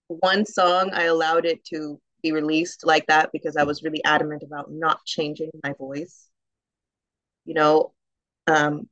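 A woman with a low, rich, round alto voice talks casually as an interview guest. She is animated and laughs easily, with a dry, slightly muffled sound. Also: no echo. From United States. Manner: animated, laughs often, fillers like "um" and "like".

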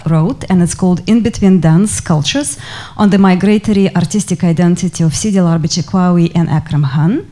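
A woman's voice: on the low side, dark tone. A woman with a Russian accent opening a live panel talk, speaking with many hesitant fillers. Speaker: panel moderator. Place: lecture hall before an audience.

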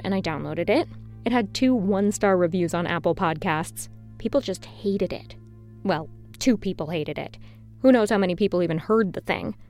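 An actress playing a blunt, opinionated fan club head being interviewed, wry, emphatic and self-assured. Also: female voice, medium pitch, a little mellow, natural voice. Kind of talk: conversational interview in audio drama.

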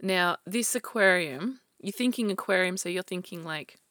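A woman with a slightly croaky, fairly low voice tells a true crime story in a relaxed, chatty way. She laughs often and restarts her sentences, and the sound is dry and close. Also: normal speed, no echo. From Australia. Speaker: podcast co-host telling a true crime story. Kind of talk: casual chat.

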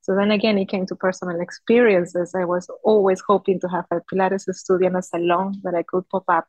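A woman's voice: fairly low pitch, little pitch movement, brisk, a little mellow, dry recording, low-quality recording. A woman in her thirties from Peru, a native Spanish speaker, telling her story conversationally as a podcast guest. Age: 30s. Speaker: podcast guest, Pilates studio founder.

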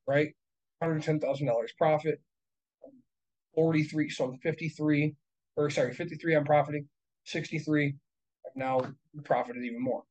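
A man in his thirties, a real estate investor, talking casually and bluntly, swearing and working through numbers out loud. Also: fairly high pitch, rough recording. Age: 30s. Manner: profane, blunt, works through numbers aloud. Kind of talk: casual podcast chat.

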